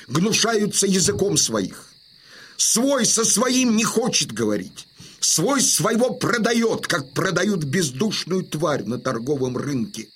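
An actor playing a proud, stern old Cossack chieftain in a Russian audio drama, declaiming emphatically with weighty pauses. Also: male voice, fairly high pitch, fairly bright tone, breathy edge, full character voice.

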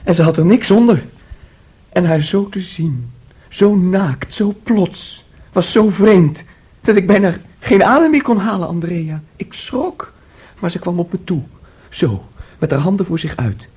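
A nervous, clinging young man in a Dutch radio drama. His voice sits fairly high for a male, a little muted and husky, and he speaks in agitated, halting bursts, naive and boastful by turns. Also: animated, darkish tone, heavily acted. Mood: frightened, breathless. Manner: agitated, halting, repeating himself. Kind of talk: Dutch radio play acting.

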